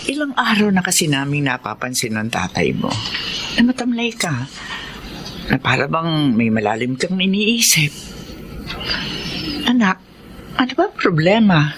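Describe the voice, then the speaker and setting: An expressive, caring Filipino mother. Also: low voice, unhurried, pauses often, noisy background. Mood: concerned. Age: middle-aged. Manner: concerned, expressive. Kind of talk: radio drama acting.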